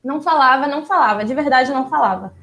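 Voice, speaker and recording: A young woman with a Brazilian Portuguese accent and a notably high voice, talking as an interview guest. She explains things at an easy, conversational pace, and often stops on a short filler sound or restarts a word before going on. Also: quick pace. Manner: relaxed and explanatory, with frequent "é" fillers and small restarts. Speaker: interview guest, doctoral student. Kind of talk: casual interview storytelling.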